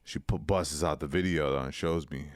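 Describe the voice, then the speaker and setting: A podcast co-host spins a slangy story in a very low voice, playing every part himself. His tone is smooth and resonant. The recording is dry and close. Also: moderate pace, dry recording. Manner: animated storyteller, slangy, acts out quotes. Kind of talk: casual storytelling chat.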